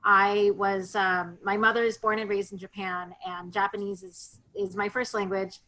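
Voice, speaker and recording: A woman guest on a video call, chatting easily with plenty of ums and self-corrections. Her pitch sits in an ordinary middle range, and she sounds like someone in her thirties or forties. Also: fairly even intonation, moderate pace, sharp tone, rough recording. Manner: chatty, frequent ums and restarts. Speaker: sake expert guest. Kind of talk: casual virtual tasting talk.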